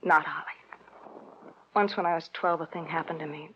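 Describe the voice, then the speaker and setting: A woman's voice: low-pitched, steady tone, normal speed, natural voice. Woman acting a numb, grieving radio-noir woman, muffled. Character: numb, grieving woman, wounded and quietly resigned. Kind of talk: 1950s radio crime drama acting. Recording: dull, noisy old radio recording.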